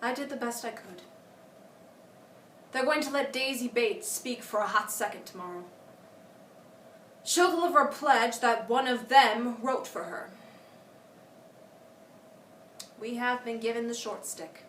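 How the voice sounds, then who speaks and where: A woman playing an indignant, eloquent civil rights organizer, delivering a theatrical speech with deliberate, emphatic phrasing and dramatic pauses. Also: a little high, fairly bright tone, a little hiss or hum, natural voice. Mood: bitter, resolute. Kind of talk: theatrical audition monologue as a formal speech. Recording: echoey room, distant microphone.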